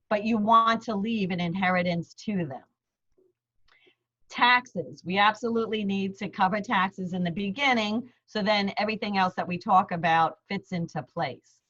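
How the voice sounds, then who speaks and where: A woman's voice: mid-range voice, fairly bright tone. A middle-aged woman with a New York accent from Queens, an attorney explaining legal terms step by step in a friendly, plain-spoken seminar over a video call. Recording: slightly dull lo-fi video call audio.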